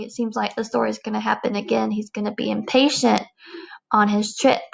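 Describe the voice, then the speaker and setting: A woman's voice: a little high, gravelly, lo-fi sound. A woman teacher speaking to young children in a read-aloud lesson, explaining things in simple phrases. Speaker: elementary school teacher.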